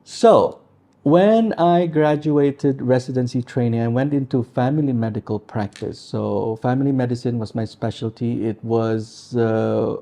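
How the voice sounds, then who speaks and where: Very warm-voiced man doctor. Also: mid-range voice. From Philippines. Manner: measured, explanatory storytelling. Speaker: integrative medicine doctor. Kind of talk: interview answers.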